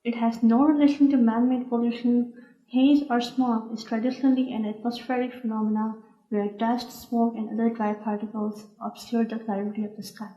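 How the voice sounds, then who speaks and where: A woman from Pakistan, a doctoral student, explains terms in a textbook-like manner. Her voice is fairly high and mellow. The room adds a clear echo. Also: level tone, normal speed, lo-fi sound. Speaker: PhD student. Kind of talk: scripted explanation. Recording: echoey room.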